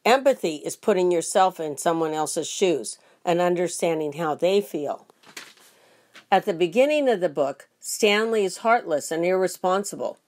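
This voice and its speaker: A woman, a teacher, reading aloud to children in an expressive storybook style. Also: on the low side, moderate pace.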